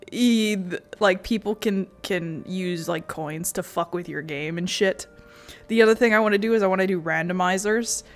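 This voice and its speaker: A woman streamer chatting casually in a rambling, sweary way. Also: medium pitch. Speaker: game streamer. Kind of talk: casual chat.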